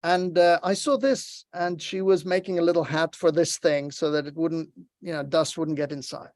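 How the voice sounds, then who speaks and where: A man's voice: a little high, fairly even intonation, moderate pace, a little mellow, no echo, clean studio sound. A man from Lebanon giving an online workshop talk, earnest and encouraging as he tells a story over a video call. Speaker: workshop presenter.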